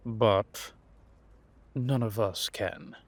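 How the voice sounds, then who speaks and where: A man playing a world-weary, wry and menacing vampire intelligence operative in an audio roleplay, confiding in a measured, drawn-out way. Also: lowish voice, heavily acted. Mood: wry, amused. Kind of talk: roleplay audio drama monologue addressed to a listener.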